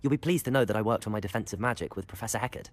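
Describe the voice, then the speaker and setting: An actor playing an eager young student wizard with a polite, earnest manner. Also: male voice, fairly low pitch, very fast, close to an everyday voice.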